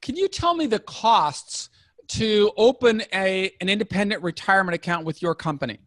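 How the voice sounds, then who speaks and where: A man in his fifties asking questions in a conversational interview, inquisitive and deliberate, restating points to confirm them. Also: high-pitched, lively intonation, a bit bright, no room sound, studio quality. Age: 50s. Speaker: interviewer asking about retirement investing.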